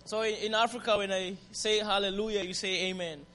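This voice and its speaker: Lively Zambian-accented man preaching. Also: sits high, a little fast, slight background noise. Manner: lively and friendly, with call-and-response and laughter. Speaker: visiting missionary pastor. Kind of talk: guest sermon and ministry testimony. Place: church sanctuary. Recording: reverberant church hall.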